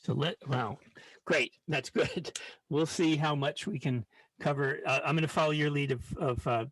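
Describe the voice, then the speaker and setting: A man's voice: on the high side, normal speed, fairly bright tone, slightly rough, no echo, low-quality recording. A man leading an informal live online science lesson in a hesitant, rambling way, often restarting his sentences. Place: online video call.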